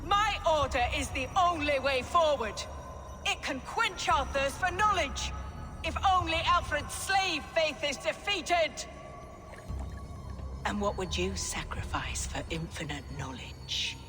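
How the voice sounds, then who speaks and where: A silky, scheming villainess, echoing hall. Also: female voice, sits high, lively intonation. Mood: fervent. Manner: condescending, silky, measured. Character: cultured, scheming, zealous female villain of a secret order. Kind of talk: dramatic video game acting. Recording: long reverb, music behind.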